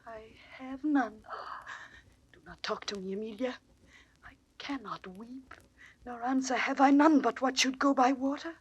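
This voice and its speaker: A woman playing a gentle, wronged and devoted young wife in a classical Shakespearean audio drama, slow, plaintive and often near a whisper. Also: high-pitched, muffled recording, a little hiss or hum. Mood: dazed grief. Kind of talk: classical Shakespearean stage acting on record. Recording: old dry studio drama record.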